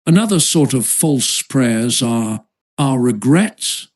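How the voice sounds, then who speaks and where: A man narrating a philosophical essay as an audiobook, with a formal, measured literary delivery. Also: mid-range voice, animated, darkish tone.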